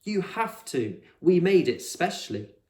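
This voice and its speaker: Bossy little storybook boy, played by a male reader: raised pitch, blunt, commanding, roomy echo. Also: very animated, measured pace, a little mellow. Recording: echoey room.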